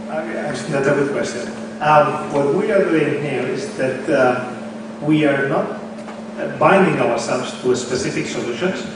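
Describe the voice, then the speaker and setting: A man whose first language is Spanish, giving a technical conference talk in a halting way, full of fillers and restarts. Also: on the high side, recorded from a distance, noisy background. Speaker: conference speaker. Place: conference hall. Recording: echoey hall, mic from afar.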